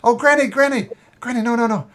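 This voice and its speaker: A man's voice: extremely high pitch, fast, fairly bright tone, a little hiss or hum, voice pushed up. A man voicing a nervous, wheedling young scoundrel begging his grandmother in a tabletop game. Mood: pleading. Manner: pleading, nervous.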